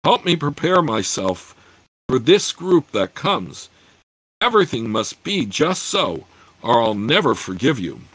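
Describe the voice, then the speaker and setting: A man voicing a fussy, imperious male dwarf lord who scolds his servant in a high, shrill voice. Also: sing-song, measured pace, a bit bright, slightly rough, no room sound, exaggerated voice, poor audio. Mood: scolding.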